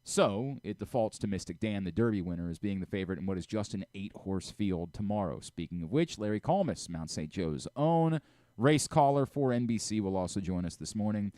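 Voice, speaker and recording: A man hosting a casual sports talk radio show, telling stories in an animated way and acting out exchanges. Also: lowish voice, a little fast, no room sound, studio quality. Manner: animated storyteller who re-enacts exchanges. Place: radio studio.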